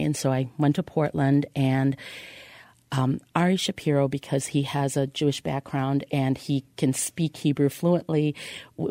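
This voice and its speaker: A woman telling a personal story as a podcast guest, halting at times and repeating words for emphasis. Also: rumbling low, warm tone, dry recording, studio quality.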